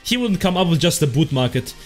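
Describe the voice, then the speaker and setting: A man's voice: on the high side, fast, faint music behind. Young German man streaming a game. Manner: excitable, swears freely, laughs at chat. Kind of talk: casual live game commentary, reading chat. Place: small room at home.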